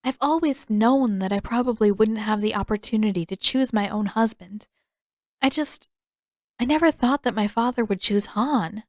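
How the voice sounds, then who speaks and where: A woman voicing a gentle, regretful teenage princess in an audiobook, with soft, careful, polite phrasing. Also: on the high side, moderate pace, dry recording, studio quality, close to an everyday voice. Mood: resigned. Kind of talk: audiobook character dialogue.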